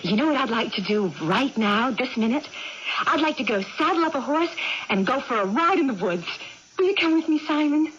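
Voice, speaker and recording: Through a dull, hissy old radio broadcast comes an excitable young woman with a high, swooping voice. She is theatrical and emphatic, insisting on things like a child in a 1980s radio drama. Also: a little fast. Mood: breezy, eager. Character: excitable young woman with amnesia and swinging moods.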